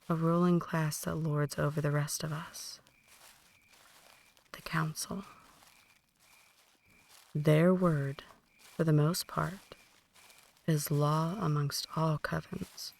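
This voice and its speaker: A woman performs an ancient female vampire in an intimate ASMR roleplay. Her voice is low, soft and breathy, slipping often into whispers. She takes her time over each phrase, condescending and quietly menacing, like a possessive mentor to a newborn. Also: fairly even intonation, exaggerated voice. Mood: calm, lecturing. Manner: deliberate, condescending, softly menacing. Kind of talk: ASMR roleplay, slow intimate dramatic monologue.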